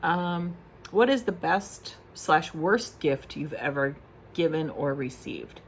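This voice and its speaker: A woman in her forties talks to her camera at home in a low, easygoing voice. She rambles through personal questions, stopping often for ums and short laughs. A faint hiss sits under the recording. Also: slow pace. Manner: chatty, frequent ums and little laughs. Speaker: beauty vlogger. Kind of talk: casual chat answering questions.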